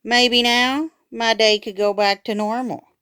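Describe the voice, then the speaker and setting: A woman reading a children's book aloud in an expressive, dramatic storytime style. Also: on the high side, a little slow, smooth, no room sound, clean studio sound. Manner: animated, dramatic. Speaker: storytime reader.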